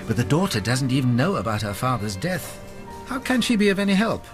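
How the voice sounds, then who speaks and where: An actor playing a decent, inquisitive gentleman doctor in a radio drama, British, with a polite, earnest manner. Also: mid-range voice, big pitch swings, fairly quick, faint music behind, natural voice. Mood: questioning.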